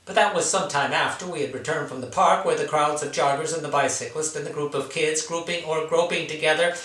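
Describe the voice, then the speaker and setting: Echoey, bright-voiced man reading dramatic literary work. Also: fairly high pitch, fairly even intonation, fairly quick. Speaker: writer reading own work. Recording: echoey room, distant mic.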